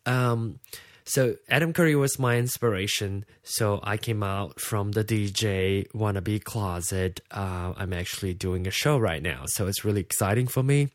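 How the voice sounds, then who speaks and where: Sleepy, low-voiced Malaysian man podcasting. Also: moderate pace, no room sound, studio quality. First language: Hokkien. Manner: hesitant, rambling. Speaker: podcast host. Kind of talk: casual unscripted monologue.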